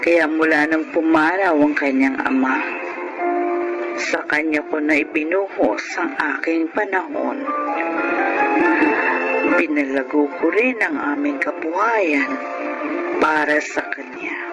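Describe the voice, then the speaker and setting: Radio drama widow, middle-aged businesswoman and devoted mother, measured and earnest, unhurried, music underneath. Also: deep voice, low-quality recording. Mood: tender, earnest. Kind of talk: radio drama acting and first-person narration.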